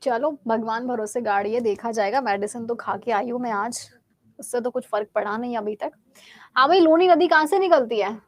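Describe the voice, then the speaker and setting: A woman's voice: a little high, moderate pace. Bihari teacher woman with a sore throat. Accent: Bihari Hindi. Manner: chatty, asks and answers her own questions. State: sore throat, clearing it often. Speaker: quiz teacher. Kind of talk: live online exam-prep class.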